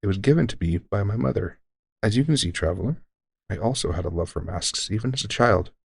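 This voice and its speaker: A man playing a deranged centuries-old elf shopkeeper who is courteous, formal and unsettlingly calm, in an audio roleplay. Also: lowish voice, a little fast, vocal fry, breathy edge, no echo, heavily acted. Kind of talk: scripted audio roleplay addressing the listener.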